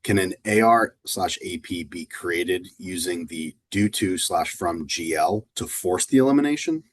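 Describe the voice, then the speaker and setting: A man's voice: low voice, unhurried, breathy edge, dry recording. A man moderating a webinar, reading out questions to the presenter.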